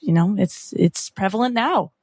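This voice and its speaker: A woman's voice: mid-range voice, expressive, normal speed, a little mellow, breathy edge, no room sound, studio quality. A woman chatting casually after a tabletop game, speaking in a thoughtful, articulate way. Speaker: player on a tabletop role-playing podcast. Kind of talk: casual post-game discussion.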